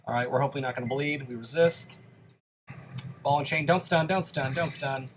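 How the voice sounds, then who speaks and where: A man's voice: medium pitch, fairly quick, slight background noise. A young man giving casual, self-deprecating play-by-play commentary on a dungeon game while a bit sick. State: slightly sick, occasional coughs. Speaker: gaming YouTuber.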